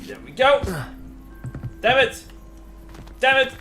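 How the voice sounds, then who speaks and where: A man's voice: very high voice, expressive, slow, pushed and bright. A man doing casual let's play commentary, rambling as he thinks aloud. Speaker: gaming streamer. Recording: faint game music behind.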